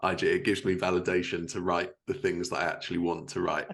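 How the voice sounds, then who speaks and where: A man's voice: sits low, flat delivery, fast, a bit bright. A man hosting a casual podcast conversation about his work, speaking as a writer. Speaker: podcast host and fiction writer.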